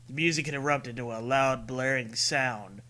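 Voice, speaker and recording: A man's voice: medium pitch, normal speed, pushed and bright. A man reading a scary story as a narrator, with dramatic, earnest delivery. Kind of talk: dramatic scripted narration of a creepypasta.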